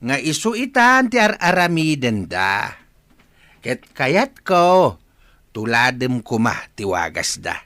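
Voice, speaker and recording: A man's voice: medium pitch, huge pitch swings, slow pace, sharp tone, no echo, heavily acted. A man with a northern Philippine accent playing an elderly village father who frets and admonishes in a comedy radio drama. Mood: fretful, advising. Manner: scolding, advising.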